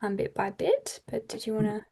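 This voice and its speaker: A woman's voice: mid-range voice, big pitch swings, normal speed, creaky, mellow, slightly rough. A woman moderates an online debate in a mid-range voice. She speaks in a loose, organising way, with plenty of "um"s. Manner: friendly, organising, loose with fillers.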